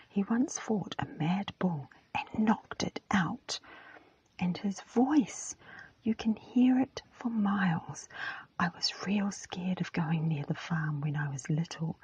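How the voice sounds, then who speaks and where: A woman voicing a chatty, gossipy little village shop girl in a storybook, in a breathy whisper. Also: lowish voice, a little mellow, no echo, lo-fi sound, heavily acted. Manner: chatty, eager.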